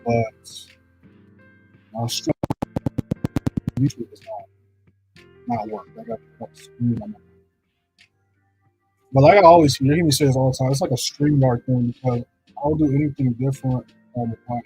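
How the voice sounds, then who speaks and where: A man with a deep voice hosting a live trading stream, talking casually through charts and often checking in with "right?". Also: sing-song, moderate pace, creaky, long pauses, mellow, faint music behind, poor audio. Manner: loose, repeats "right?" to check in with viewers. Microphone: streaming setup microphone.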